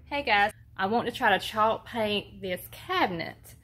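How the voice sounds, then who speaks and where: Soft-spoken Southern woman, hesitant. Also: a little slow. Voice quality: soft-spoken, talks low. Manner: hesitant, many ums, plain. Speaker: homestead vlogger. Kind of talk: casual how-to vlog. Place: at home, alone with a phone camera.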